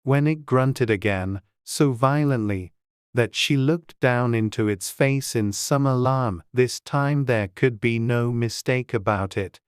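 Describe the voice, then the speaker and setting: A woman narrates a scripted storybook. Her voice is extremely low and her pace is slow and measured. The recording is dry, with no audible room. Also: clear, resonant tone, no echo. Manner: measured, unhurried. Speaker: audiobook narrator.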